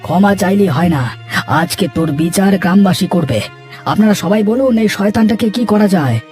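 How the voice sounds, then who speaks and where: A man's voice: high voice, lively intonation, normal speed, darkish tone, faint music behind. A man voicing an aggrieved villager in a melodramatic cartoon moral tale, emphatic and lamenting. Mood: indignant.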